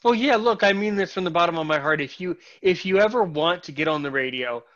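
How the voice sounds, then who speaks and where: A man's voice: high voice, animated, fast, a bit bright. Rambling man with muffled audio. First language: English. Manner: rambling, many restarts. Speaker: podcast host. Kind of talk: casual conversation.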